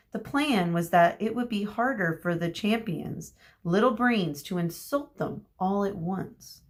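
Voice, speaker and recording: Slow-paced woman reading to children. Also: on the low side. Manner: explains words and asks listeners questions. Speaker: teacher reading a children's book aloud. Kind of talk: expressive read-aloud with explanatory asides to children.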